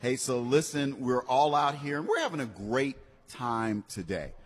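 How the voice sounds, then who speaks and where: A man's voice: mid-range voice, big pitch swings, normal speed, slightly rough. A man hosting live promotional radio for a car dealership in a conversational, encouraging sales manner. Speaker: radio host. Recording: long hall-like reverb.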